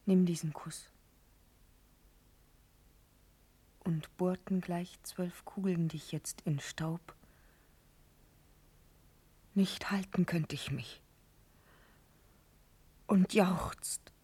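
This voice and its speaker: An actress playing an ardent, pleading young noblewoman in a classical verse radio drama, fervent and declamatory, often dropping into a breathy whisper. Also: lowish voice, steady tone, very slow, long pauses, dark tone, full character voice. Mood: hushed, tender.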